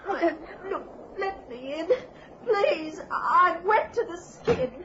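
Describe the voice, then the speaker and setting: Frantic old woman, very high-pitched. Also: expressive, slow pace, fairly bright tone, a faint crowd, full character voice. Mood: shaken, exhausted. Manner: pleading, agitated, breaks into demands. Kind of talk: old-time radio thriller acting. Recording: noisy, dull old radio recording.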